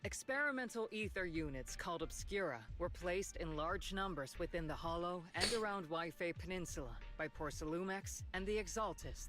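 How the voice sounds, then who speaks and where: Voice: medium pitch, fairly bright tone, natural voice. An actor playing a composed, authoritative military colonel giving a briefing in a video game. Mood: formal.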